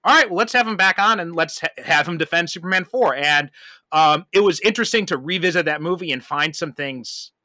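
A man with a bright, forward voice that sits a little high. He hosts a casual film discussion, and the sound is close and dry. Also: lively intonation, a little fast, no room sound. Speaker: podcast host.

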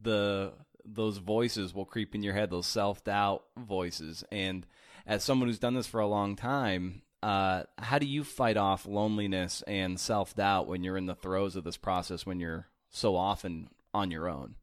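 Guy podcast host, lowish voice, dry close mic, wanders around his questions. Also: measured pace, no echo. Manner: rambling, restarting questions with many fillers. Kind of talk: interview questions.

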